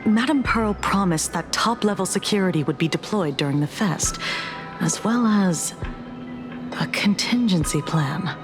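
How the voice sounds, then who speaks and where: An actress playing a cool, pragmatic investigator in a video game, measured and dry. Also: female voice, fairly low pitch, animated. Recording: music behind the voice.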